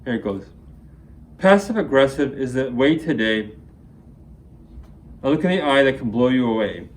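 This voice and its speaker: A man's voice: mid-range voice, expressive, moderate pace. A man reciting his own rhyming spoken-word poems as an amateur poet at a reading.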